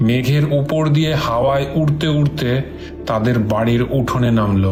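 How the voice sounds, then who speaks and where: A man's voice: medium pitch, normal speed. A man giving scripted narration for a cartoon story. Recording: roomy echo with clear music underneath.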